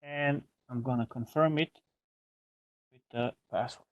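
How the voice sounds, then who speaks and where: A man giving an informal software tutorial as a product trainer, explaining step by step in a hesitant way. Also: mid-range voice, fairly even intonation, unhurried, no echo, highs cut off.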